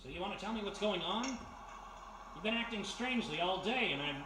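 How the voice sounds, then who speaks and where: A woman's voice: mid-range voice, expressive, brisk, lightly acted. A woman with an American accent playing a skeptical, slangy, wisecracking male private detective in a 1940s-style radio mystery. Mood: concerned. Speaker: actor. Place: live performance in a historic house. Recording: roomy, distant live recording with faint noise.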